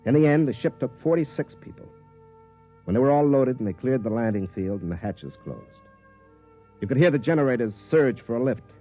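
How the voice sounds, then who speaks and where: An actor playing a cynical middle-aged American career soldier in a 1950s radio drama, plain-spoken and brooding. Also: male voice, medium pitch, lively intonation, brisk, dull audio, soft background music, lightly acted. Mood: grim narrating. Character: weary, cynical career soldier, twenty years in army. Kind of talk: 1950s radio drama acting, first-person narration. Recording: old band-limited 1950s radio recording.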